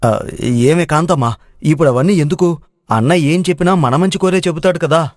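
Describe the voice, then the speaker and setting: A man's voice: fairly high pitch, normal speed, darkish tone, lightly acted. Man playing a hesitant younger brother, heavy reverb. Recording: long hall-like reverb.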